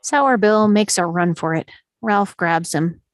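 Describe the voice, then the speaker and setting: A woman's voice: medium pitch, unhurried, dry recording, clean studio sound. A woman reading stage directions as the narrator of a screenplay table read.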